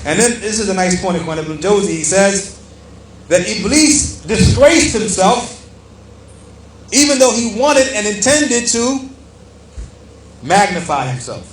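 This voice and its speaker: Man, Islamic teacher, religious lecture, fairly high pitch, emphatic and repetitive for stress, addresses listeners directly, echoey room. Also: expressive, a little slow, pauses often. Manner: emphatic, didactic, repeating phrases for stress and often addressing listeners. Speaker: Islamic teacher giving a lesson. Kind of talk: religious lecture, reading aloud from a book and explaining it. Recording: echoey room with faint background noise.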